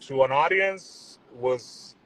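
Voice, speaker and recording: A young man from Puerto Rico, talking in a relaxed, rambling way as a musician guest on a video call. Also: mid-range voice, slow, poor audio. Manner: relaxed, rambling, repeats words, says "you know what I'm saying". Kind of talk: casual interview chat.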